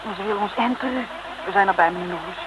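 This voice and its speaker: A woman's voice: medium pitch, quick pace, lightly acted. Woman playing a girlish, anxious radio-serial sidekick. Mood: alarmed. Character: plucky, anxious young female sidekick of a detective. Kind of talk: 1950s-60s Dutch radio serial acting. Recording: noisy old radio serial recording.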